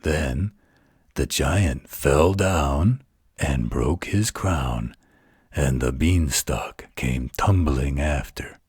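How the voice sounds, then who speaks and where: A man playing a gentle, protective werewolf in a bedtime roleplay audio, speaking in a gravelly, husky, soothing voice. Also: very deep voice, no room sound, studio quality, full character voice. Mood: hushed storytelling. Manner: soothing, unhurried, tender.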